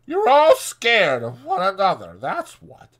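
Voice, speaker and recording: High, taunting young ranch wife. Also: male voice, huge pitch swings, unhurried, fairly bright tone, full character voice. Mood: amused, scornful. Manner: taunting, pouty, rural slang. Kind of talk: dramatized audiobook character voice.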